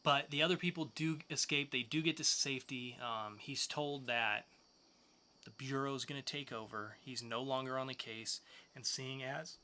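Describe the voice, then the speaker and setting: A man's voice: mid-range voice, normal speed, sharp tone. A man hosting a podcast, casually reviewing comic books with enthusiastic, loose, slangy asides. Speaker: podcast host.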